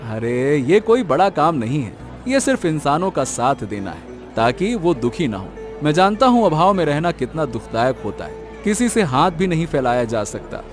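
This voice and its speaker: Man playing a humble, animated village vegetable seller. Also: a little high, moderate pace, few pauses, faint music behind. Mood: humble, kindly. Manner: earnest, expressive. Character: humble, kind-hearted village vegetable seller, devoted son. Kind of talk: scripted cartoon story acting.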